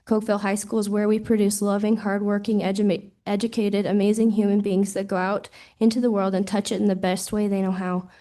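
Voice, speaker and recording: A woman's voice: medium pitch, flat delivery, a little fast, hardly any pauses, warm tone. A woman who has lost her voice, reading earnest, proud public testimony as a parent at a hearing, into a desk microphone. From Wyoming. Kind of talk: prepared public comment.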